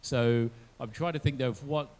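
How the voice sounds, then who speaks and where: A man's voice: mid-range voice, normal speed, a little mellow. A man on a panel who thinks aloud as he talks, often restarting his sentences. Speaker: panelist from a blockchain company. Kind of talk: online panel discussion.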